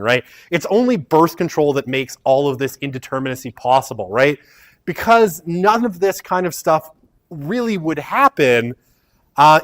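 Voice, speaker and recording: A middle-aged man lectures a university sociology class in an auditorium. He talks in a loose, rambling way, pokes fun at himself, and keeps checking in with a quick "right?". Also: fairly high pitch. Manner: rambling and self-deprecating, frequent "right?" tags.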